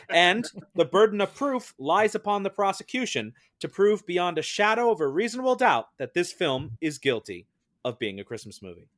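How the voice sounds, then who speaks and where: A man hosting a mock trial as presiding judge, reading a script in a formal, ceremonious way. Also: high-pitched.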